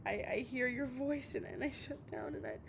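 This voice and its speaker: A woman playing an obsessive, heartbroken young woman on a late-night call, stammering and repeating herself in a dramatic audio roleplay monologue. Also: creaky. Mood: scared, pleading. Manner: halting, stammering, repeating words. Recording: noisy with low rumble.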